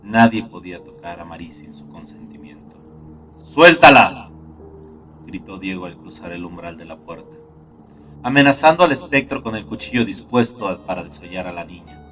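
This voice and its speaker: A man reading a scripted horror story as narrator, in a dark, gloomy, dramatic manner. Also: low-pitched, a little fast, distant mic. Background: soft guitar music.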